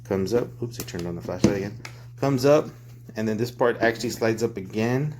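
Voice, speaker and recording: A man's voice: lowish voice, fairly quick. A man giving an informal, loose hands-on product review, recorded on a handheld phone. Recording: clear background noise, handling clicks.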